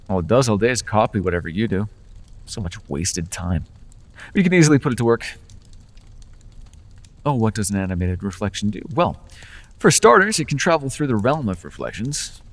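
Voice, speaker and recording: A man's voice: mid-range voice, long reverb tail. A man playing a sly, coaxing Fae dealer. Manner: coaxing, playful salesman patter. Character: charming male Fae dealer, persuasive and sly. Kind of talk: ASMR roleplay.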